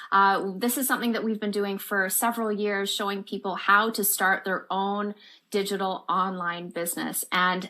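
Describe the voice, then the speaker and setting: A woman's voice: medium pitch, bright, forceful tone. A woman presenting a webinar in an enthusiastic, polished sales manner.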